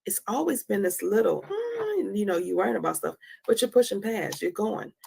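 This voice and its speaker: A woman's voice: medium pitch, very animated, moderate pace, gravelly, darkish tone, breathy edge. A woman in her forties giving a candid motivational testimony as a host, emphatically repeating phrases for effect. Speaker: host of a live talk on anxiety.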